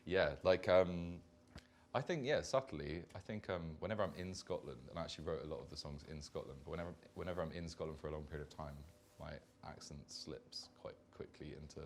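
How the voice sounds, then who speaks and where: A man's voice: very deep voice, brisk, a little hiss or hum. A man raised in London, a band's singer, answering fan questions in rambling, self-correcting talk on a concert stage. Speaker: band singer. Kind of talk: live Q&A chat.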